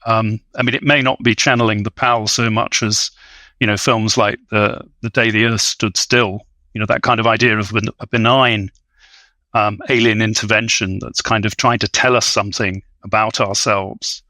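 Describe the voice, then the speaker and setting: A man offering conversational film analysis in a measured, scholarly and hesitant manner. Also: fairly low pitch, little pitch movement, bright, forceful tone, no echo. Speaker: film discussion guest.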